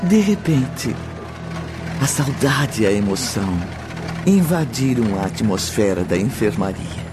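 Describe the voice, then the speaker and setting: Casting: formal male storyteller for radio drama, medium pitch, clear musical score behind. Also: big pitch swings, a little slow, over music. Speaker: narrator. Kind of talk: scripted radio drama narration.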